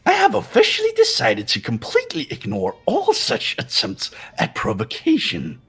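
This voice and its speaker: A man's voice: high-pitched, huge pitch swings, normal speed, a bit bright, husky, heavily acted. A man voicing a mocking, officious robotic bear for a fan-dubbed video game, in a theatrical, mock-polite manner. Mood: officious, smug. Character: gleeful, mocking robotic bear overseeing a deadly trial. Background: game music.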